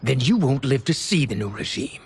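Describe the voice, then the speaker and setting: An actor playing a threatening, taunting henchman soldier in a game cutscene, with a gravelly voice. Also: medium pitch, big pitch swings, normal speed, heavy background noise. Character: menacing traitorous soldier, loyal henchman of a usurping general. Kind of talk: dramatic video game cutscene acting. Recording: loud game music over the voice.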